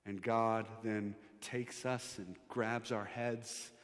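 Pastor guy preaching, gets loud and worked up on the big points, long echo off the hall. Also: fairly low pitch, slow. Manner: animated preaching, rising and louder on emphatic points; sings hymn lines softly. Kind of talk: sermon. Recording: long reverb of a large hall.

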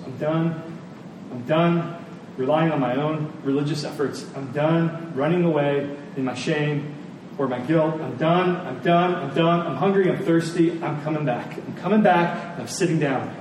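Echoey, higher-voiced man preaching a sermon. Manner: earnest, deliberate, repeats phrases for emphasis. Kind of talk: sermon to a congregation. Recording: very echoey room, distant mic, faint noise.

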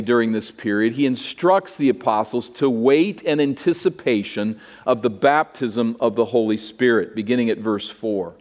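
Male preacher, mid-pitched voice, deliberate expository delivery, long hall reverb. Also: a little mellow. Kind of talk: expository sermon.

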